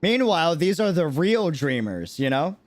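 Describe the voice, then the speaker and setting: Casting: male streamer, lightly high-set voice, lively swings, reflective casual asides, soft music behind. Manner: musing, halting, often seeking agreement. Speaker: game streamer. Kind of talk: casual live stream commentary. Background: game music.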